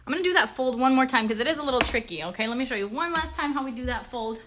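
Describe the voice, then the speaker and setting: A woman with a high voice and very animated intonation hosts a children's craft livestream. She talks casually and encouragingly, checking in with short tag questions. Some noise sits behind her voice. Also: very fast. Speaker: children's show host and craft teacher.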